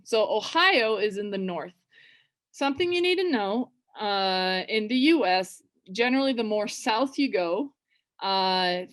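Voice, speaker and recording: A woman with a West Coast American accent telling and reading a story to language learners in a casual, chatty way, with many fillers and restarts. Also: medium pitch. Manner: reads book passages slowly and clearly. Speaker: teacher reading a children's book. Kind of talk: storytelling lesson for English learners.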